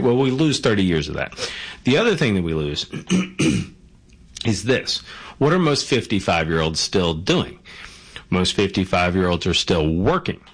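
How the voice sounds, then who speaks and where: A man's voice: on the low side, fairly bright tone. A man explaining retirement accounts in a casual monologue, often checking in with "okay?" and "right?". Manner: conversational, rhetorical questions, frequent "okay?" and "right?". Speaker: financial commentator.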